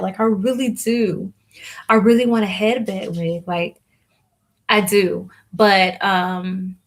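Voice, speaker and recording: A young woman chatting casually to viewers on a live stream, rambling with lots of fillers and restarts. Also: mid-range voice. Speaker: hair vlogger hosting a live Q&A.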